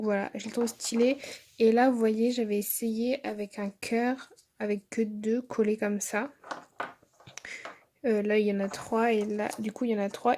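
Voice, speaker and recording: A young woman vlogs from her bedroom into a phone at arm's length. Her voice is mellow and a little high, roughened by a slight hoarseness from a sore throat. She rambles casually, padding her sentences with fillers and restarts. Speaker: vlogger. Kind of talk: casual vlog chat. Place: bedroom at home. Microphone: phone held at arm's length.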